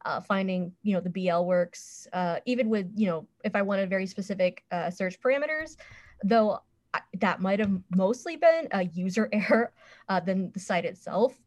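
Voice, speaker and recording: A woman's voice: mid-range voice, animated, normal speed. A woman chatting casually as a podcast panelist, with a frank, playfully bawdy way of talking. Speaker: podcast panelist reviewing a website. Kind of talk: casual review chat.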